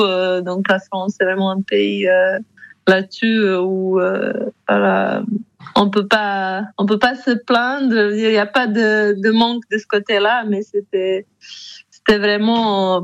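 A woman's voice: mid-range voice, no echo, dull audio. A woman in her thirties with a Montenegrin accent, a handball player answering radio interview questions with many fillers and restarts. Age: 30s.

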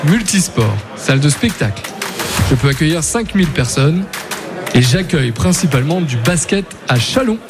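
Playful high-pitched radio quiz host, male. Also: sing-song, normal speed. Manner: playful, teasing, informal. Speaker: radio game show host. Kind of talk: chatty live quiz hosting. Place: on location at a trade fair. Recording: music behind the voice.

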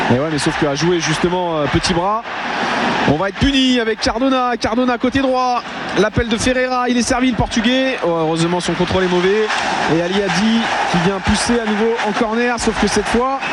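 A man commentating live on a football match, animated at the action and bantering with his colleague. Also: sits high, heavy background noise.

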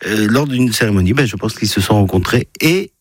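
Casting: middle-aged man, low voice, halting and hesitant, dry studio sound. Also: expressive, no room sound. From Paris region. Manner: hesitant, many restarts. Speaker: film director, radio guest. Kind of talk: radio interview.

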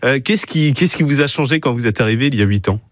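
A man's voice: medium pitch, fast, no echo, clean studio sound. A man interviewing a guest on the radio in a conversational way, rephrasing his questions as he goes.

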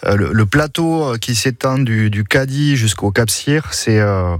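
A man's voice: mid-range voice, a little slow, no echo, clean studio sound. A man speaking as a guest on a radio show, earnestly promoting the region with some hesitation. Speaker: tourism guest.

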